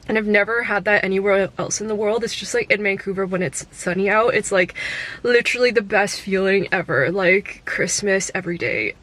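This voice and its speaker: A woman's voice: mid-range voice, fairly bright tone. A young adult woman with a Canadian accent, talking to the camera in a casual, upbeat vlog full of fillers. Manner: chatty and upbeat, many fillers. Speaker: vlogger.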